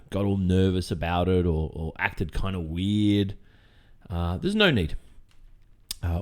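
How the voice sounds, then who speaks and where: A man acts out a creepy, lovestruck Australian boyfriend who has turned kidnapper, in an ASMR audio roleplay. His voice is very low and unhurried, with pitch that rises and dips widely. He speaks haltingly and cheerfully, soothing his captive with false reassurance. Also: a little mellow, exaggerated voice. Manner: hesitant, halting, nervous chuckles, falsely reassuring.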